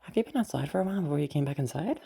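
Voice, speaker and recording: A woman playing a teasing, self-assured, sardonic yet protective young woman in a listener roleplay, rambling and halting as she talks. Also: low voice, expressive, vocal fry, warm tone, husky, heavily acted. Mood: concerned, hesitant. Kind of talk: roleplay audio drama addressed to the listener.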